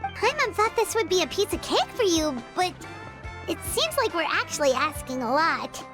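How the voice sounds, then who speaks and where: A woman voicing a tiny floating girl companion who chatters brightly and refers to herself in the third person. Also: very high-pitched, sing-song, moderate pace, music in the background. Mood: chatty.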